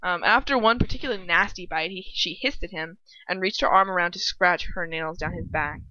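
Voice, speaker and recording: A woman's voice: lowish voice, brisk, sharp tone, no room sound, highs cut off. A young adult woman reading fan fiction aloud casually, flustered and giggly, breaking off into sweary asides.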